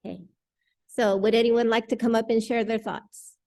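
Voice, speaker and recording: A woman's voice: on the high side, steady tone, moderate pace, gravelly, warm tone. A woman serving as worship associate, informally making announcements and moderating a church discussion.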